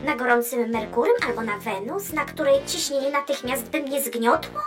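A woman voicing a chatty, cheerful female space probe who explains science in a children's educational cartoon, teasing and lively. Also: sits high, normal speed. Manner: bubbly, lively, playfully teasing. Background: background music.

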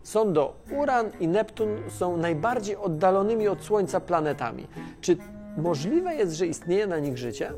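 Animated, scatterbrained Tomasz. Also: male voice, high-pitched, moderate pace, over music. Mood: curious, enthusiastic. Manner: excitable, rambling, repeats himself. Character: Tomasz, scatterbrained, excitable, inquisitive male friend of space probe. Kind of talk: children's educational radio play.

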